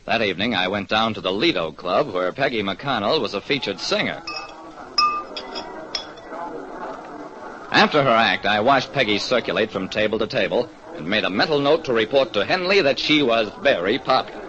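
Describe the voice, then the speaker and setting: Wry radio private eye, bright voice. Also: male voice, on the low side, expressive, a little fast, hardly any pauses. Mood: matter-of-fact. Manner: wry, world-weary. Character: hard-luck, wry male private detective narrating his case. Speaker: narrator and lead detective. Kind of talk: old radio detective scripted narration. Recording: dull, noisy old radio recording.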